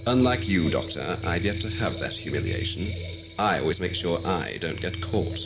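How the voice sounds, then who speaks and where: An actor playing a bored, condescending villainous alien lord in a sci-fi audio drama, haughty, mocking and elaborately formal. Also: male voice, deep voice, expressive, moderate pace. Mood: amused contempt. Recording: 1980s amateur audio drama under loud music.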